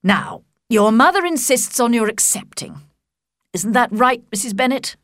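A woman voicing a calm, wry older father who speaks in a slow, measured, deadpan way. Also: on the high side, no echo, studio quality, pitched above the performer's own voice. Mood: dry. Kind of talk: audiobook character voice.

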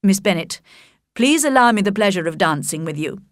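A woman voicing a proud, reserved young gentleman in a storybook, measured, polite and faintly disdainful. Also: mid-range voice, very animated, no room sound, studio quality.

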